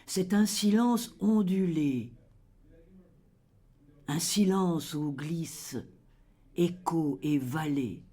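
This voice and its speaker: A reader recites poetry at an extremely slow pace in a mid-range voice. The delivery is solemn and dramatic, each line carefully measured. Phrases often sink into a hush at their close. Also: mid-range voice.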